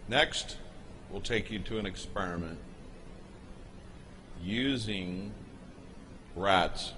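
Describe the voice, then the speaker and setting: A man giving an unscripted voice-over, explaining footage in a halting way with pauses and restarts. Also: deep voice, sharp tone, slight background noise. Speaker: narrator explaining a product video.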